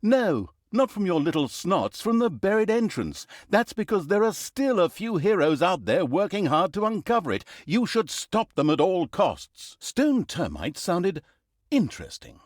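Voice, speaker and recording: Casting: mocking, theatrical narrator for a fantasy game; lighter-pitched, hugely varied intonation, scripted storytelling with sarcastic bite. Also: male voice, sing-song, unhurried, dry recording, clean studio sound, heavily acted. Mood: mock-serious. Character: sardonic, theatrical male storyteller mocking heroes and scolding the player.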